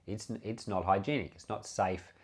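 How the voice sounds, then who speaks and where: A man's voice: low voice, moderate pace, breathy edge. A man giving an informal Bible teaching as a pastor, explaining conversationally with repeated phrases and rhetorical questions. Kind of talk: informal expository sermon, explaining scripture.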